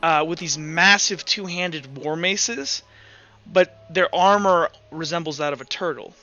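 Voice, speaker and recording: A man acting as game master, improvising tabletop narration in a casual, halting storytelling style. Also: a little high, sharp tone, muffled recording. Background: faint music.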